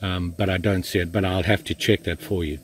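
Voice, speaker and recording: An older man in his sixties with a husky, fairly low voice, casually talking through a knife test while he kneels in the woods. He rambles easily, restarting sentences and filling pauses, recorded by a camera a few feet away. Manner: relaxed, chatty, with frequent fillers and restarts. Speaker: outdoor knife reviewer. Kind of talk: casual hands-on review. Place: outdoors in woods, kneeling in the undergrowth.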